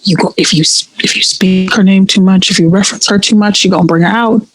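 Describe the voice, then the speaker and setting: A woman's voice: medium pitch, fairly even intonation, fast talker, noisy background, natural voice. An actress playing a spooked, God-fearing churchgoing woman in an audio play, telling of a haunting in folksy, emphatic talk with repeated phrases. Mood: alarmed, warning. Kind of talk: audio play acting, conversational storytelling.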